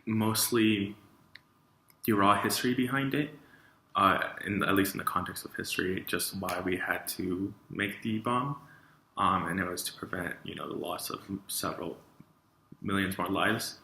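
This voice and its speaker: Young guy, really low voice but bright edge, lots of ums, echoey room. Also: moderate pace, gravelly, a little hiss or hum. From New Mexico, USA. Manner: hesitant, many fillers. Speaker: interviewee. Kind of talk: interview answers.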